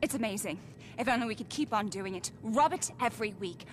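A woman's voice: mid-range voice, expressive, brisk, a little hiss or hum, lightly acted. An actress playing an eager, pushy wealthy woman in a video game cutscene. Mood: gushing. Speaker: game character.

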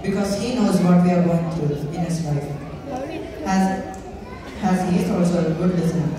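A preaching young priest in an amateur stage skit, played by a teenage girl. The voice is on the low side and addresses the audience from far away, with crowd noise around it. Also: lightly acted. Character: patient young parish priest preaching about prayer. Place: large church hall stage, filmed from audience. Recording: echoey hall from far off, noisy crowd.

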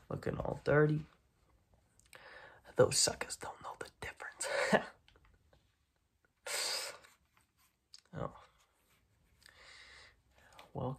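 Young man playing a husky, slow-talking mob boss. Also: medium pitch, full character voice. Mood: sly, amused. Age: young adult. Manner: slow, clipped tough-guy talk with repeated phrases. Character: gruff, dismissive, streetwise mob boss sizing up a kid. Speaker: ASMR roleplay performer. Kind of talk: soft-spoken ASMR roleplay. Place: small dim room at home, indoors.

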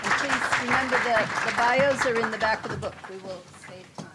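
A woman moderates the panel with quick housekeeping remarks, her somewhat high voice caught from a distance. The room mic carries steady background noise and clicks. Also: over a noisy crowd. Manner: brisk procedural announcements, mixing in Spanish.

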